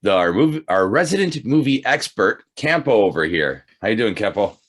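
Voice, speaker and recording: A man's voice: lowish voice, very animated, normal speed, a bit bright. A man hosting a podcast in casual chat, with a jokey manner and a habit of repeating phrases. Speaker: podcast host.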